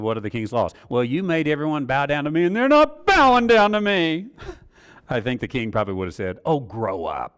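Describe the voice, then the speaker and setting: A man's voice: lowish voice, fairly bright tone, clear, resonant tone. A man teaching a Bible study in a rambling, conversational way, full of fillers and small chuckles. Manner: rambling, many uh fillers, chuckles at own asides.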